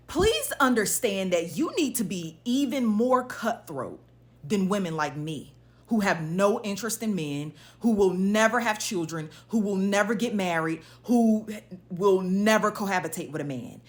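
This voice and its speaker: A woman giving an opinionated monologue to viewers, blunt and emphatic, posing pointed rhetorical questions as she goes. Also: medium pitch. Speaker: social media commentator.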